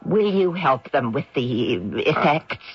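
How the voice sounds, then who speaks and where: A dull old radio recording holds a meek lady of a haunted house. Her husky voice is genteel and apologetic, and she speaks with gentle hesitation. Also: sits low, lively intonation, slow pace, heavily acted. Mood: curious. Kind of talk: old-time radio drama acting.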